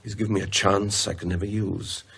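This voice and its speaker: An actor playing a brooding, fatalistic cursed man in a horror radio play, speaking gravely and deliberately. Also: fairly low pitch, little pitch movement, a bit bright, a little hiss or hum. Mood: grave, resigned.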